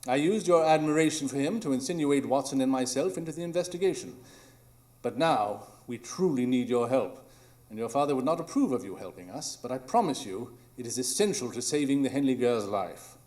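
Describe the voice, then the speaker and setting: A man's voice: medium pitch, brisk, darkish tone, close to an everyday voice. A man playing a brilliant, coolly analytical detective with a formal, precise manner in a live radio drama. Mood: coolly deductive. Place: small improv theatre stage.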